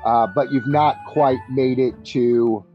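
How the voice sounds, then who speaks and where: A man's voice: mid-range voice, fairly even intonation, slow pace. Dull-sounding male game master over music. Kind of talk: narrating and refereeing a tabletop roleplaying game, casual. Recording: dull, muffled audio with music behind. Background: background music.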